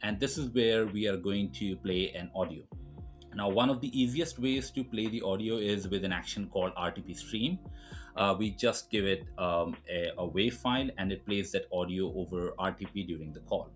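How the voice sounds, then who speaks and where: A man presenting a screen-recorded software tutorial, explaining things informally step by step. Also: sits low, moderate pace, soft background music.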